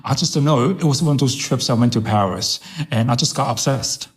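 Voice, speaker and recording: A man's voice: medium pitch, brisk. A middle-aged man from Hong Kong raised in New York, whose first language is Chinese, chatting as a podcast guest and repeating phrases for emphasis. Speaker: podcast guest, fragrance brand director. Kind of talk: casual interview chat.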